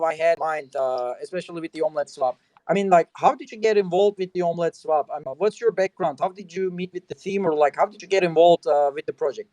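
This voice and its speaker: A man's voice: on the high side, warm tone, no room sound. A man hosting a talk show, speaking casually and leaning on you know between phrases. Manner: conversational, many you know fillers. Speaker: host.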